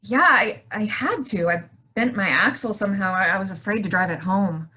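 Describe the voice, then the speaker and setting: A woman in a staged play reading as a shaken, soft-hearted wife, speaking haltingly and repeating words. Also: medium pitch, natural voice.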